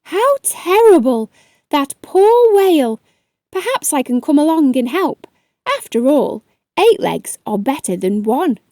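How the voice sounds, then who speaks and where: A woman's voice: sits high, sing-song, measured pace, dark tone, dry recording, clean studio sound. Woman doing an eager lady octopus from a kids book, bouncy melody, earnest. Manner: earnest and giggly. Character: grateful, eager, helpful female octopus. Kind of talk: children's storybook character.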